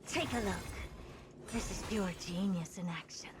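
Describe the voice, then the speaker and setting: A woman's voice: medium pitch, normal speed, background noise, lightly acted. An actress playing a haughty, condescending young female genius scientist in a video game. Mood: smug. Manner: self-assured, condescending. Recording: game audio with music.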